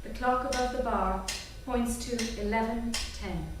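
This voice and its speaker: A woman's voice: a little high, fairly even intonation, unhurried. A woman narrator reading stage directions aloud at a staged reading. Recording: very echoey theatre, distant, loud noise.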